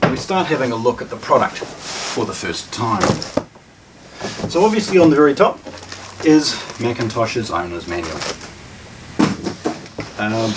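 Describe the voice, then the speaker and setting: A man gives casual, unscripted unboxing commentary, halting and matter-of-fact as he thinks aloud while handling things. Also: mid-range voice. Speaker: presenter of a hi-fi shop's unboxing video. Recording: distant mic, noisy room with handling clatter.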